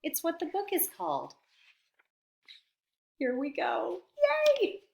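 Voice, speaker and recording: Expressive woman reading to children, echoey room. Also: high voice, takes it slowly, gravelly. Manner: teacherly, expressive, explains words to children. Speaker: storytime reader for young children. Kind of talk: animated picture book read-aloud. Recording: roomy, echoey sound.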